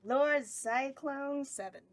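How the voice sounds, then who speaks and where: A woman's voice: sits high, slow. A woman playing a teasing, giggly succubus clown girl who hosts a campy horror show at a table read. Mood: playful. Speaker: actor reading a horror hostess at table read.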